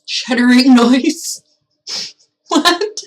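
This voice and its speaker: A woman's voice: sits high, extremely slow. A woman streaming a game with casual, teasing, irreverent commentary, chatting as she plays.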